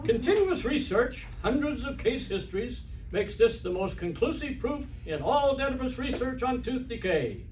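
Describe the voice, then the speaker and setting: An actor playing an emphatic, salesmanlike announcer reading a scripted old-time radio commercial. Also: male voice, very high-pitched, big pitch swings, normal speed, hardly any pauses, a little mellow, far from the mic. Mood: assured. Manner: declarative, salesmanlike. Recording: roomy stage recording from afar, hiss.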